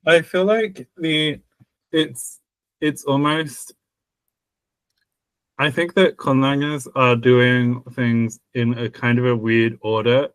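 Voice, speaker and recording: A man's voice: medium pitch, steady tone, slow, pauses often, smooth, no echo, low-quality recording. A man co-hosting a podcast, chatting casually about language, rambling with many restarts and laughing at himself. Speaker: podcast co-host.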